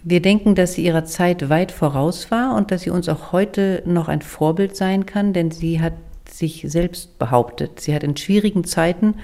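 A woman project member giving a measured statement in an interview. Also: lowish voice, warm tone.

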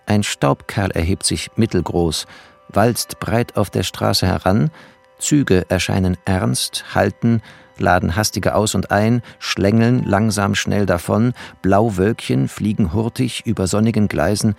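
A man's voice: lowish voice, a little slow, gravelly, soft background music. A man playing a bookish, wry war refugee who narrates a literary radio play in clipped, poetic phrases. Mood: wry, observant.

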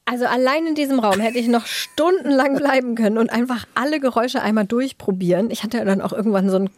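A woman's voice: fairly high pitch, fast, darkish tone, no echo. A woman podcast host chatting casually and enthusiastically in an informal way.

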